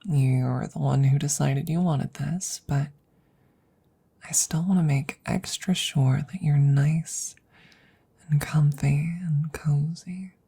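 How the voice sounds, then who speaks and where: A woman playing an affectionate, teasing witch in an ASMR audio roleplay, speaking softly and gently, often dropping into close whispers. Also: low-pitched, heavily acted. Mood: tender, doting. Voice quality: soft, breathy, husky, often dropping to whispers. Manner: gentle, unhurried, cooing, playfully teasing. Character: affectionate, teasing young witch doting on her werewolf familiar.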